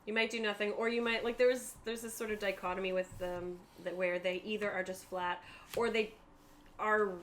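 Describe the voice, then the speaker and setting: A woman's voice: mid-range voice, fairly even intonation, moderate pace, fairly bright tone, slight background noise. A woman narrating a tabletop roleplaying game as game master, explaining things in a conversational way.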